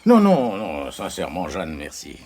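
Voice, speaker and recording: Husky, blustering middle-aged father, swooping pitch. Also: huge pitch swings, normal speed, mellow, exaggerated voice. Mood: defensive, weary. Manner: blustering, grumbling. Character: blustering factory-boss father nearing fifty, touchy. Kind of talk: French radio play acting.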